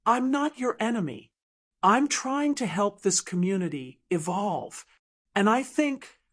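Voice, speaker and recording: A woman acts out a polished, manipulative businesswoman in an audiobook drama. She speaks smoothly and slowly, with sugary condescension and lively swings of pitch. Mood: gracious, condescending.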